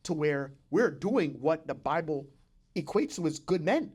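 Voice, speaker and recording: A man's voice: on the high side, lively intonation, a little slow, darkish tone, breathy edge. A man hosting a podcast in a conversational motivational style, repeating phrases for emphasis and often checking in with the listener. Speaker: podcast host.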